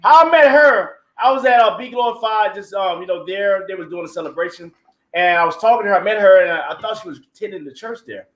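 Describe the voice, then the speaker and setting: A man chatting casually as a play producer, telling stories in an animated way and acting out bits of conversation. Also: sits high, quick pace. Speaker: stage play producer and guest. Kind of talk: casual conversational storytelling at a group interview. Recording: roomy, echoey room sound.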